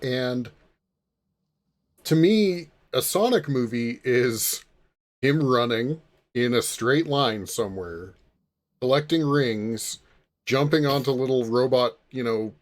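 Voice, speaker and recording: A man's voice: medium pitch, lively intonation, slow, pauses often. A man hosting a casual podcast discussion, posing questions to the other panelists. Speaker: podcast host. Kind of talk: casual chat, steering discussion.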